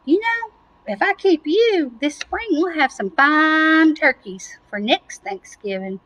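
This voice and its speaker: Woman as a drawling, high-voiced storybook farmer. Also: expressive, slow pace, fairly bright tone, exaggerated voice, low-quality recording. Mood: admiring. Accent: Southern US. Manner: musing, drawling, gushing. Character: admiring, folksy male farmer sizing up animals.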